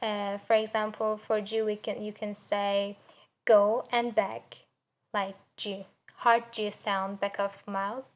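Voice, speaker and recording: Young turkish accent girl doing a class presentation, slow n halting, kinda monotone, searching for words. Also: medium pitch. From Turkey. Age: young adult. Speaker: student presenter. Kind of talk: spoken assignment presentation on pronunciation.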